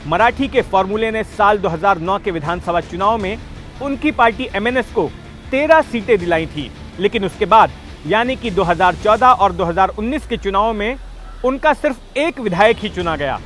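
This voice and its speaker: Male political explainer narrator, high register, emphatic scripted storytelling, light music underneath. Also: animated, moderate pace, a bit bright. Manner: emphatic storytelling with rhetorical questions. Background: background music.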